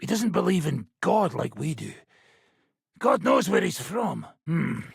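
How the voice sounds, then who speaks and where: An actor playing a coarse, combative medieval mercenary commander in a video game, with a gravelly, raspy voice and a blunt, profane, gruff manner. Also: male voice, high-pitched, big pitch swings, measured pace, no room sound, clean studio sound, heavily acted. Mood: mocking. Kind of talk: video game character acting.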